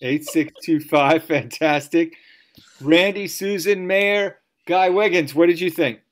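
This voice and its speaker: A man's voice: fairly high pitch, slow pace. Guy mc-ing a virtual storytelling night over video call, wordy and jokey, kinda mock-grand. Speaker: host and MC of a story slam. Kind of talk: jokey off-the-cuff hosting. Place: online video event.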